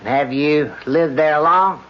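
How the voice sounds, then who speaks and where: An actor playing a methodical, weary defense lawyer in a radio drama, questioning formally and deliberately. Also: male voice, on the high side. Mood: formal, probing. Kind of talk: 1970s radio drama acting. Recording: dull old radio broadcast recording.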